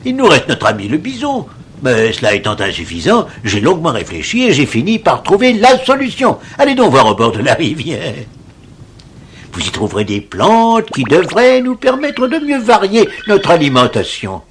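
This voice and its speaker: A man's voice: a little high, huge pitch swings, normal speed, a little hiss or hum. A man voicing a wise, enthusiastic inventor who leads a prehistoric tribe in an educational cartoon, theatrical and pleased with his own ideas. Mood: explaining. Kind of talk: animated educational cartoon acting.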